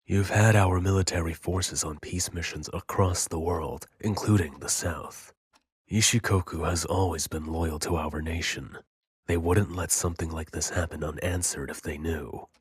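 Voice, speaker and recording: An actor playing a gruff, world-weary military man in an anime audio drama, dry, sardonic and calm but grim. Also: deep voice, normal speed, no room sound. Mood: earnest.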